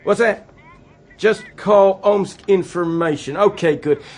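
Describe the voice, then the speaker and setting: Meek US leader, high-pitched, rough-edged husky tone, apologetic, stammering, chummy, radio comedy. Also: male voice, slow. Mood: placating. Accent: American. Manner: hesitant, placating, awkwardly chummy. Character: meek, apologetic, flustered American president. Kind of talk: radio satire acting, one-sided phone call.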